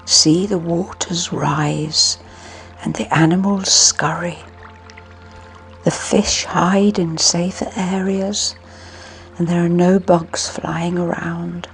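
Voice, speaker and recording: A woman narrating a scripted guided sleep meditation in a soothing, hushed manner with long pauses. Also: on the low side, steady tone, creaky. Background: music.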